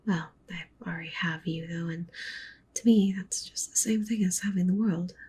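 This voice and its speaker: A woman's voice: steady tone, moderate pace, a little mellow. Woman acting a whispering, possessive wolf-witch girlfriend. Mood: possessive, devoted. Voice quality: breathy whisper with low creaky murmur. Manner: intimate, tender, clingy, coaxing. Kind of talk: ASMR roleplay, soft whispering.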